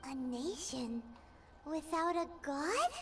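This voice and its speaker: A woman voicing a tiny, childlike fairy guide in a video game, squeaky, excitable and chatty. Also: slow, noisy background, exaggerated voice. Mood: puzzled, excitable. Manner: squeaky, exclaiming, refers to self in third person.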